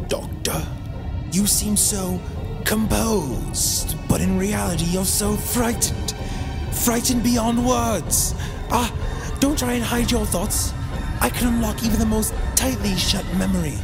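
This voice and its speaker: An ancient alien emperor of a sci-fi radio play, proud and menacing. His gravelly voice swings widely between slow gloating and hissed whispers, ringing in a long hall reverb. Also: high voice, animated, music in the background, exaggerated voice. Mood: taunting. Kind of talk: science fiction audio drama acting.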